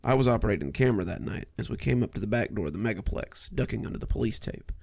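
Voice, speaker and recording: A man's voice: fairly low pitch, lively intonation, racing, vocal fry, darkish tone, no room sound, clean studio sound. A man narrating scripted first-person horror stories as a host, with a dramatic storytelling delivery.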